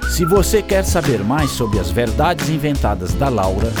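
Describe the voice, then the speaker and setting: An actor with a Brazilian accent playing a theatrical, suspenseful narrator of a radio serial, reading scripted narration. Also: male voice, mid-range voice, big pitch swings, moderate pace, over loud music, natural voice. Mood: suspenseful, inviting.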